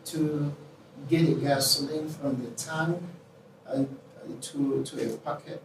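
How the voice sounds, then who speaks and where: Middle-aged male guest, Somali accent, slightly high but mellow voice, halting storyteller, recorded at a distance. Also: level tone. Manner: halting, pieces sentences together. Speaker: interview guest, nurse and non-profit founder. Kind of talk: personal storytelling in an interview. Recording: roomy, distant mic, faint noise.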